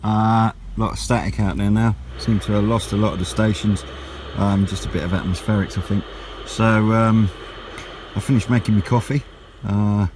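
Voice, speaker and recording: Low-voiced British man rambling outdoors. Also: a little mellow. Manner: rambling, unhurried, low-key. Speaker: radio hobbyist vlogger. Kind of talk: casual outdoor vlog chat. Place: outdoors on wooded high ground by a road. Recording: outdoor noise with passing vehicles.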